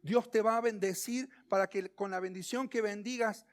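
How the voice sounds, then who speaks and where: A man with an Argentine accent preaching a sermon in a didactic way, using rhetorical questions and emphatic pauses. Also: sits high, steady tone. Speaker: pastor preaching. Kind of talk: expository sermon.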